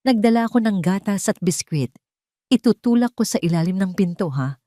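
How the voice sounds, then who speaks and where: A Filipino woman voicing a gentle, protective housemaid in an audio story, speaking in a soothing, deferential way. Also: mid-range voice, expressive, a little fast, dry recording, clean studio sound, close to an everyday voice. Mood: hushed, soothing. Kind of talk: audio story character dialogue.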